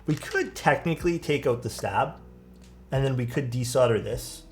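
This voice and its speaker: A man's voice: mid-range voice, a little slow, background noise. A man with a soothing voice chatting casually on a live stream while he builds a keyboard. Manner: relaxed, chatty, reads viewer chat aloud and answers. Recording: clear background music under voice. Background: music playing and keyboard clacking.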